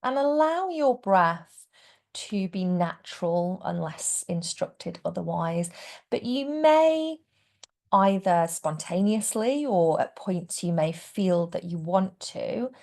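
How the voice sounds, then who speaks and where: Female meditation guide, lowish gentle voice with heavy fry, hushed at phrase ends. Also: lively intonation, slow pace. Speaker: yoga nidra teacher guiding relaxation.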